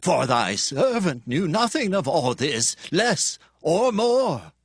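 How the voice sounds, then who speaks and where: A man's voice: on the high side, very animated, slow pace, husky, dry recording, clean studio sound, heavily acted. An actor playing a humble, loyal priest in a dramatized audio Bible, pleading deferentially with his king.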